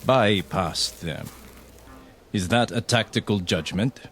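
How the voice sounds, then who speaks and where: An actor playing a ruthless, contemptuous Japanese army captain in a radio drama, commanding and cold, with deliberate, emphatic phrasing. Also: male voice, lowish voice, a bit bright, slight background noise. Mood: incredulous, mocking. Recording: music under the voice.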